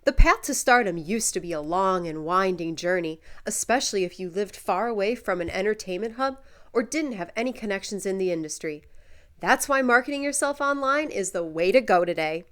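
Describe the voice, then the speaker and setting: A woman reading scripted commercial and promo narration with a polished, clearly articulated announcer delivery. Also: mid-range voice, lively intonation, brisk, fairly bright tone. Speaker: voice-over artist.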